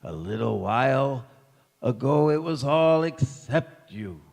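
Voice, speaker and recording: A man acting a feeble, forgetful old grandfather in a stage radio play, halting, dreamy and fondly teasing. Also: mid-range voice, lively intonation, creaky, a little mellow, heavily acted. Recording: echoey hall with long reverb.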